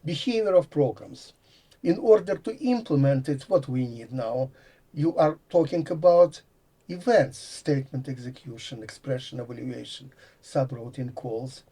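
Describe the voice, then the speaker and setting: A man's voice: medium pitch, normal speed, warm tone, slightly rough. An older man from Latvia, a professor with a Latvian accent, explaining ideas in a thinking-aloud way and often dropping articles. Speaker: guest, computer science professor. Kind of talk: explanatory conversation.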